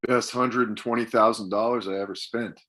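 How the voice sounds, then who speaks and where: A man's voice: lowish voice, steady tone, a little fast, fairly bright tone. A man playing an exasperated middle-aged dad with a dry, sarcastic manner in a comedy table read. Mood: dry, grumbling.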